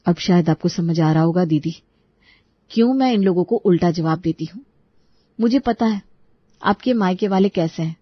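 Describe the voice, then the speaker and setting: A woman's voice: on the low side, normal speed, pauses often, breathy edge, no room sound, lo-fi sound. A woman playing an outspoken, sensible young sister-in-law who gives firm advice in a Hindi moral story. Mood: firm, advising. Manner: firm, advising.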